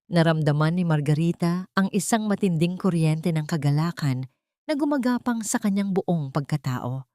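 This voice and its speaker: Female audio drama narrator, lowish register, measured sentimental storytelling, dry recording. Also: lively intonation, warm tone, no room sound.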